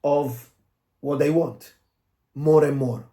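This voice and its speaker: A man who speaks English as a second language, explaining as a dance coach in a casual, halting discussion, searching for words and often adding "you know". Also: a little high, steady tone, dark tone. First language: not English. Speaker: dance coach and podcast co-host.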